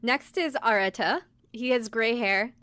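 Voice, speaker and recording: A woman's voice: fairly high pitch, a little slow, fairly bright tone, no room sound. A woman co-hosting a podcast in casual chat, explaining things in a giggly way. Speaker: podcast co-host. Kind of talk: casual chat about idol anime.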